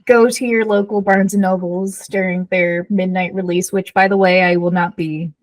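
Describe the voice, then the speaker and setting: A woman's voice: fairly low pitch, little pitch movement, normal speed, no room sound. A woman co-hosting a casual book podcast, talking informally with plenty of conversational "like". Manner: informal, conversational, heavy use of "like". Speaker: podcast co-host. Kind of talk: casual book chat between friends.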